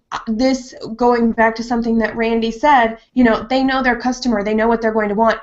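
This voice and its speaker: A middle-aged woman speaking earnestly in a panel discussion over a video call. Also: on the high side. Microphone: earbuds on a video call. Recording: dull lo-fi video call audio.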